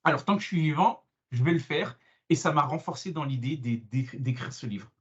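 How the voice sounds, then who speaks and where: A man from France, a guest author, answering interview questions over a video call. He speaks hesitantly, filling his pauses with plenty of euh. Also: fairly high pitch, animated, fairly bright tone, highs cut off.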